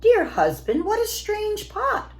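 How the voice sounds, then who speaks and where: A woman voicing an eager, clever old wife from a storybook, with expressive exclamations. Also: extremely high pitch, takes it slowly, pitched above the performer's own voice, full character voice. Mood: surprised.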